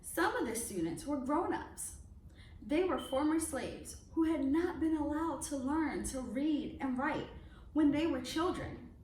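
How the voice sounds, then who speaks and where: A woman reading a children's picture book aloud in an expressive storytelling way. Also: sits high, a little slow. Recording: echoey room, distant mic, faint noise.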